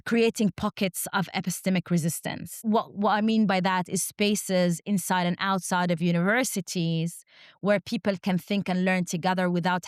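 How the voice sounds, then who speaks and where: Low-voiced woman, Arabic native, measured. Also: dry recording, clean studio sound. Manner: measured, rhetorical "right?" tags. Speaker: guest academic. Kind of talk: reflective academic interview.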